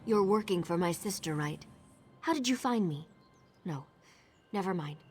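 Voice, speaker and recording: A woman's voice: lowish voice, lively intonation, moderate pace, darkish tone, faint music behind, close to an everyday voice. A woman playing a bitter, jaded younger sister who left a cult, guarded and sarcastic, in video game dialogue. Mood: wary, sardonic.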